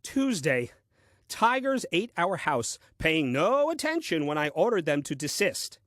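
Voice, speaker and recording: Casting: man to play puzzled, literal-minded first husband in a comic audio play; lively swooping delivery, dry pedantry, exasperated temper. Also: fairly high pitch, dry recording. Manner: deliberate, theatrical, dry and pedantic.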